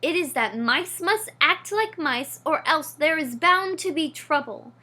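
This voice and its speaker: A woman reading aloud, voicing a pompous, easily alarmed father mouse who bursts into loud, dramatic outcries. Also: high voice, expressive, a little slow, exaggerated voice. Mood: shouting. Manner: loud, melodramatic outbursts.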